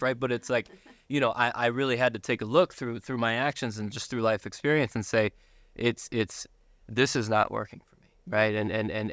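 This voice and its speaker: An American man talking casually as an interview guest, sharing personal testimony in a halting way, repeating words and checking for agreement. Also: on the low side, fairly bright tone, smooth, no echo, studio quality. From Buffalo, United States.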